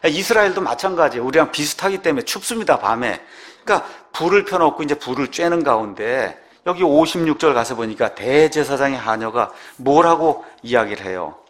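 A man's voice: medium pitch, expressive, a little fast. A man preaching an expository sermon, didactic and emphatic, often putting rhetorical questions to his listeners. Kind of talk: expository sermon, retelling scripture verse by verse.

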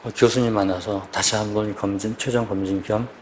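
Casting man, 50s, veteran police officer, low voice, quiet halting testimony before a congregation, faint background hiss. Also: fairly even intonation. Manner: quiet, halting, searching for words. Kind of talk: personal church testimony asking for prayer.

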